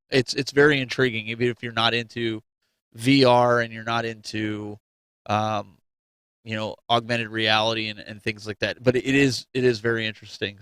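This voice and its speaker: A man's voice: mid-range voice, sharp tone, clear, resonant tone, no echo. A man hosting a gaming talk show, debating casually and emphatically, often repeating his phrases. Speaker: host of a gaming news show.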